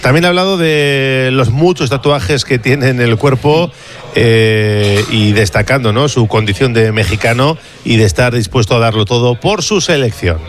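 A man's voice: medium pitch, moderate pace. Man presenting sports news.

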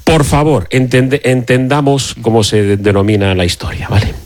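A man hosting a casual football radio chat, halting and joking. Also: mid-range voice, darkish tone, dry recording.

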